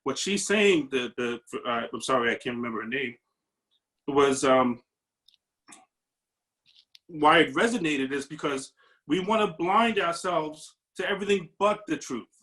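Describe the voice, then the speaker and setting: Paramedic guy on a video call, earnest and kinda searching, a bit higher voice. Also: unhurried, pauses often, fairly bright tone, low-quality recording. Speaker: paramedic audience member. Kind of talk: reflective discussion comment.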